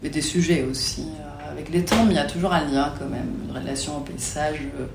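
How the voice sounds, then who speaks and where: A woman, a Spanish speaker, talking casually and haltingly as an interviewee. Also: sits low, fairly even intonation. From Mexico. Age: 40s. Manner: hesitant, halting. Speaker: interviewee, photographer. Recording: roomy, echoing, clear background noise.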